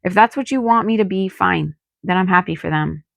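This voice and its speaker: A woman acting a weary, snappish wife, lowish voice. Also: brisk, creaky, a bit bright, no room sound. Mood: weary, fed up. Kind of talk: audiobook character dialogue.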